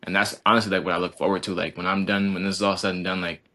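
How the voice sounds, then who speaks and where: A young man in his twenties with a deep, low-set voice. He chats casually and earnestly, stringing thoughts together with endless "like"s and restarts. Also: little pitch movement, very fast, pushed and bright. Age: 20s. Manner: rambling, heavy use of "like", earnest. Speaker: college basketball player, guest. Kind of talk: casual podcast conversation.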